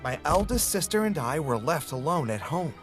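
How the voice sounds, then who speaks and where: Male narrator, scripted storytelling, a bit high, animated pitch, music bed. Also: normal speed. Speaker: narrator of a played story video.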